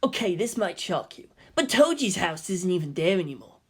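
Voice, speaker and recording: A woman's voice: lowish voice, very animated, normal speed, husky. A woman voicing a detached, philosophical teenage schoolboy in a fan fiction reading. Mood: detached, resigned.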